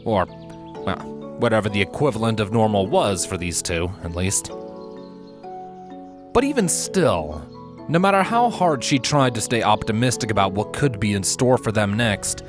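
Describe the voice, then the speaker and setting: A man reading scripted fantasy fan fiction aloud as a narrator, in measured, expressive storytelling. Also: lowish voice. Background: background music.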